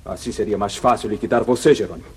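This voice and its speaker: A man's voice: fairly low pitch, fast, a little hiss or hum, close to an everyday voice. A man playing an arrogant, scheming town boss and secret bandit chief in a 1950s Brazilian radio serial, with theatrical menace. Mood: cold, gloating. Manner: theatrical, smooth-talking menace. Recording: muffled vintage 1950s radio recording.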